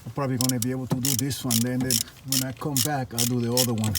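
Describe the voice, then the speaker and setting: A man's voice: medium pitch, little pitch movement, rapid-fire, very noisy. A man talking informally, half to himself, as he films a casual hands-on repair vlog. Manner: informal, muttering to himself while working. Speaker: vlogger, tradesman doing a DIY truck repair. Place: at a vehicle being repaired.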